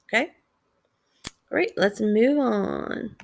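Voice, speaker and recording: A woman teacher giving a read-aloud lesson, explaining the story in a measured way and pausing to put questions to listeners. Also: a little high, animated, vocal fry, darkish tone.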